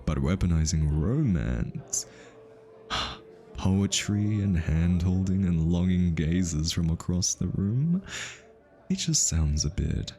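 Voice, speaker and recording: A man's voice: sits low, lively intonation, takes it slowly, mellow, faint music behind, heavily acted. A man voice-acting an ASMR roleplay as a pretentious, easily flustered hitman posing undercover as a society consultant, with an arch, teasing and theatrically polite manner. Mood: teasing, mocking. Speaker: voice actor.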